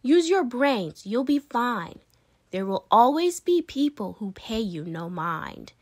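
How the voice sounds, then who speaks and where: A woman's voice: on the high side, big pitch swings, slow, full character voice. A woman reading the part of a firm, encouraging mother in a storybook, earnest and emphatic. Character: firm, encouraging mother advising her daughter.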